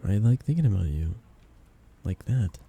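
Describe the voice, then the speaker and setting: Romance ASMR roleplay: shy, tender best friend in love, played by a man, gravelly and lively in pitch, hesitant delivery. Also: moderate pace, slight background noise. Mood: tender, earnest.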